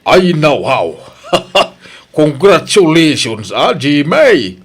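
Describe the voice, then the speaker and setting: Animated, genial family father acted by a man. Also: fairly high pitch, drawn-out words, husky, exaggerated voice. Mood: cheerful. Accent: Ilocano. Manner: animated, interjecting. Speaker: radio drama actor. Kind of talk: Ilocano radio comedy-drama acting.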